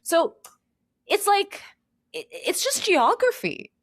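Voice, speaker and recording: A woman on a podcast talking in an animated, emphatic way, with a lot of "like.". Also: very high-pitched, slow, dry recording. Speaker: perfumer guest. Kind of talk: casual podcast conversation.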